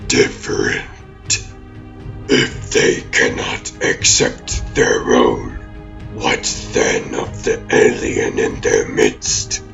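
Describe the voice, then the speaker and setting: A man's voice: a bit bright, highs cut off, heavily acted. A man voicing a menacing exiled alien demon warlord in a comic dub, grandiose and vengeful, with a growling, pompous delivery. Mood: coldly persuasive. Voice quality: very deep, gravelly growl. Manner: pompous, slow theatrical villain speech.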